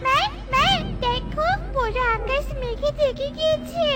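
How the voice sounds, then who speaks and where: A woman's voice: extremely high pitch, a little slow. A woman voicing an innocent little daughter bird in a fairy-tale cartoon, earnest, anxious and childlike. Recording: music bed behind the voice.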